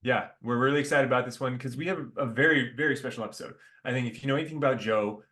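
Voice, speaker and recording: A male podcast host with an average pitch opens the show with upbeat, partly scripted introductions. He then moves into relaxed questions for his guests. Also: racing. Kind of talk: podcast hosting.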